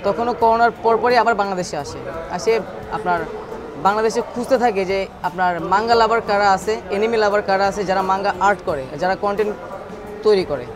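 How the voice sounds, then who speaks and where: A man's voice: high-pitched, steady tone, fast. A man on a business's staff giving an informal, explanatory account in an interview. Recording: crowd and music behind.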